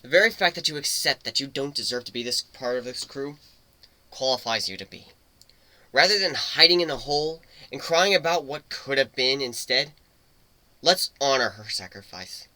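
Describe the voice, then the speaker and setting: A man plays a gruff griffin who leads his crew with solemn, emphatic oratory. The voice is mid-pitched, very bright and forceful, recorded dry for a fantasy storybook reading. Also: animated, normal speed, no echo, low-quality recording. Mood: rousing. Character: gruff, rousing male griffin crew leader. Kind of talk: dramatic reading of fan fiction.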